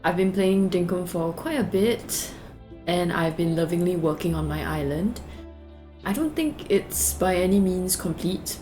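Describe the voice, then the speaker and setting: A woman's voice: on the low side, a little mellow. A young woman from Singapore with a Singaporean English accent, chatting casually through a game tour with lots of fillers. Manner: chatty, rambling, many fillers and "like"s. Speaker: gaming video creator. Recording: faint background music.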